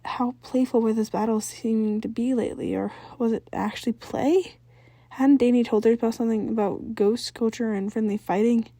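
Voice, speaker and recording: A woman's voice: a little high, fairly even intonation, moderate pace, mellow, no echo. A woman narrating fan fiction as an amateur reader, sometimes stumbling over words and rereading them. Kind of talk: scripted story narration, read aloud.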